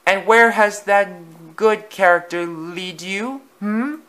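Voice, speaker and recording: A man voicing a big, vain, boastful male engine with a grandiose, drawn-out, theatrical manner. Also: high-pitched, a bit bright, pitched above the performer's own voice. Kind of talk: storybook voice acting.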